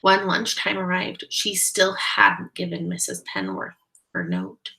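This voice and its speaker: Female read-aloud teacher: slow, creaky delivery, explains carefully for kids. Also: on the low side, big pitch swings, sharp tone, low-quality recording. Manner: deliberate, explanatory, addressing children. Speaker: teacher reading a picture book aloud to children. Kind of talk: read-aloud storytelling with questions to young listeners.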